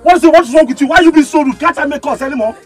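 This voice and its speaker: A man's voice: very high voice, expressive, a little fast, a bit bright, soft background music. A Nigerian man acting a hot-tempered tricycle driver in a screen drama, indignant and repeating himself. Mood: angry.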